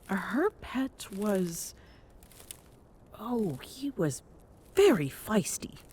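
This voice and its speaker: A woman playing a regal, smitten Amazonian queen in an intimate ASMR romance roleplay, speaking formally and softly with coy pauses, often near a whisper. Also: medium pitch, big pitch swings, slight background noise. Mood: fond, wistful. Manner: formal, haughty diction with coy hesitations and murmured endearments.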